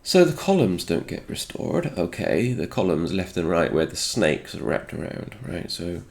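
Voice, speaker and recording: A man's voice: deep voice, very animated, normal speed, gravelly, darkish tone. A man with a slightly congested voice, recovering from a cold, thinking aloud through an unscripted technical walkthrough. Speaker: host of a retro game programming video.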